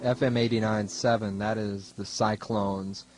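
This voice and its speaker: A man's voice: lowish voice, level tone, a little slow, very noisy. A young man working as a student DJ, talking casually between songs on college radio in a wry, rambling, self-deprecating way. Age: young adult. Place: radio studio.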